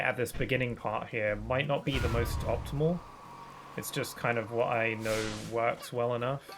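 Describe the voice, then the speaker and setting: A man streaming a game, chatting casually and thinking aloud, a bit sniffly from hay fever, with bursts of excitement. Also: mid-range voice, noisy background. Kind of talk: casual gaming commentary.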